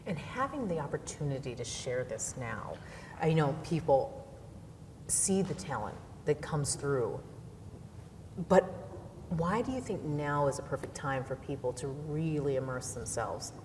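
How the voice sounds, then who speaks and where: A young woman TV reporter asking interview questions in a smooth, encouraging way. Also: animated, measured pace, a little mellow. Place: indoor exhibit hall. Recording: hall reverb, faint rumble.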